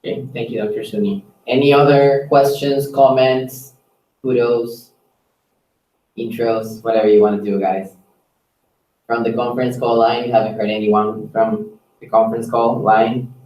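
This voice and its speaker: A man's voice: mid-range voice, level tone, moderate pace, a little mellow, slight background noise. Male moderator for an informal staff Q&A call, voice set back from the mic in a roomy space. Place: echoey room on a conference call. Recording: echoey room, distant conference mic.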